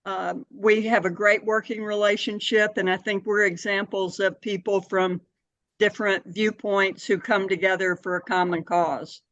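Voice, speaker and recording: An older woman giving measured, explanatory answers in a conversational interview. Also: mid-range voice, steady tone, fairly bright tone, dry recording, dull audio. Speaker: interview guest, city board member and anti-hunger advocate.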